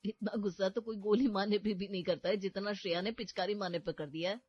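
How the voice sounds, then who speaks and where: A woman's voice: medium pitch, fairly even intonation, quick pace, breathy edge, dry recording, muffled recording, soft background music, natural voice. A woman voicing a playful young husband who loves pranks, talking in a jokey, teasing way.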